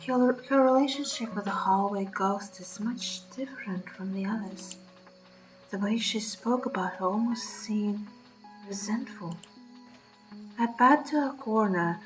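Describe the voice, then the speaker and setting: A woman streaming a visual novel, reading the narration aloud and reacting in an expressive, sweary way. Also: mid-range voice, rough recording.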